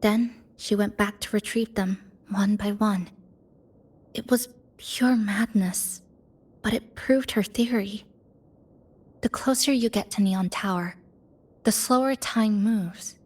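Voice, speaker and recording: An actress playing a composed young woman in a video game, speaking in a measured, reflective way. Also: mid-range voice, a little mellow, husky. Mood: calm, explaining. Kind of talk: video game cutscene voice acting.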